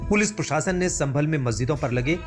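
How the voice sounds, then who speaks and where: A man's voice: fairly high pitch, lively intonation, a little fast. A male reporter reading a scripted news voice-over. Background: music bed.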